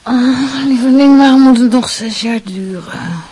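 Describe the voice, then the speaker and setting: A woman playing a coquettish, demanding young fiancée in a radio play, with a husky, breathy voice. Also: high voice, a little slow, mellow. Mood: cooing, teasing. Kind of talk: Dutch radio play acting.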